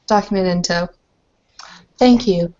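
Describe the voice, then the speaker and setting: A woman's voice: on the low side, unhurried, mellow, muffled recording. A woman in a tabletop roleplaying game, casually speaking as her character and narrating its actions.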